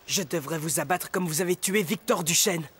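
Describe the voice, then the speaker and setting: An actor playing a brave, protective young newspaper editor in a French cartoon, direct and earnest. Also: male voice, fairly high pitch, moderate pace, noisy background, lightly acted. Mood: wary, defiant. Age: young adult. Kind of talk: French animated drama acting.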